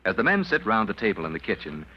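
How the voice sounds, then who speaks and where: A man's voice: on the low side, sing-song, fast talker, a little hiss or hum. A man playing a dramatic, declamatory announcer for a 1940s radio adventure serial, on an old recording. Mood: narrating. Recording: dull 1940s radio recording, music behind.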